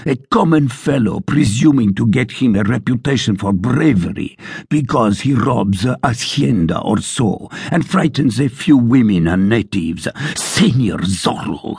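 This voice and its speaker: A blustering storybook sergeant, as a male reader puts him on, bellowing and mocking. The voice is gravelly and husky, leaping high on scornful words. Close and dry. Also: sing-song, unhurried, a little mellow, no room sound, heavily acted. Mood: boastful. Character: blustering big male soldier sergeant, loud.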